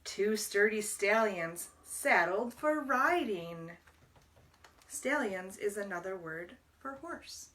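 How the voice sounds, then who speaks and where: A woman reading a picture book aloud to young children as a library storyteller, deliberately, pausing to explain words. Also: medium pitch, lively intonation, pushed and bright, far from the mic.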